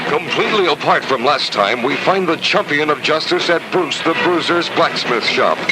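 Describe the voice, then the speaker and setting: A male announcer in the booming style of old radio serials. His voice sits high and swings widely for mock drama. Loud music plays behind him. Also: animated, moderate pace, low-quality recording. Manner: grand, booming announcer delivery. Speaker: announcer narrator.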